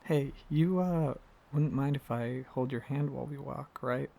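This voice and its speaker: A man playing an affectionate, excitable dragon boyfriend in an ASMR roleplay, talking casually and a little haltingly, with a gravelly voice. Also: mid-range voice. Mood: tender. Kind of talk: ASMR roleplay, softly addressing the listener as partner.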